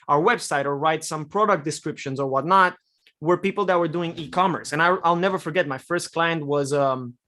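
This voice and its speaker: A man's voice: on the high side, brisk. Young Romanian-born man telling his story. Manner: relaxed storytelling with many fillers. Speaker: guest, e-commerce copywriter. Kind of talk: podcast interview answers.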